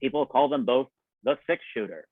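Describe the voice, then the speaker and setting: A man's voice: medium pitch, animated, normal speed, very noisy. Wry male showman on thin, phone-like audio. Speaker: host and announcer. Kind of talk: scripted radio-show introduction with ad-libs. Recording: thin, phone-like band-limited sound.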